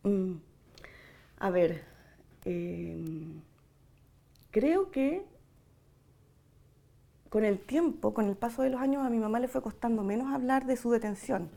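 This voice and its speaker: A Chilean woman in her forties giving a personal oral-history testimony, reflective and hesitant, searching for words with long pauses. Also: a little high, animated, gravelly, dark tone. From Santiago, Chile. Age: 40s.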